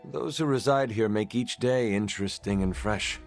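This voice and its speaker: A man playing a guarded, plain-spoken hunter hero in a video game, reserved and measured. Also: on the low side, faint music behind, close to an everyday voice. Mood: curious, reserved. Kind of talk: video game cutscene acting.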